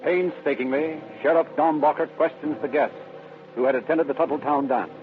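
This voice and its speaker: A man's voice: a little high, steady tone, moderate pace. A man narrating an old-time radio drama in a scripted, dramatic style. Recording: thin narrow-band vintage radio recording. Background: music.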